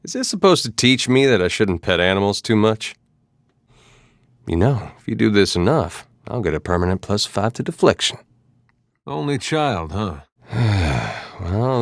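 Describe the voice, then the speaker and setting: A man's voice: on the low side, no room sound, clean studio sound. A man voicing an easygoing, wry farmer turned adventurer for a video game, with a folksy, drily humorous way of talking. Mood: dry, bored.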